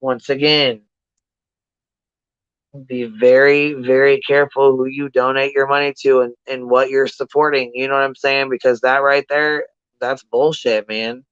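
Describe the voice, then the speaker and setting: Flat, blunt livestreamer with a low-fidelity sound. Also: unhurried, smooth, dry recording, highs cut off. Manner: blunt, profane, slangy, repeats points for emphasis. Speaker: livestream host giving commentary. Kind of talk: casual livestream commentary, chatting with viewers.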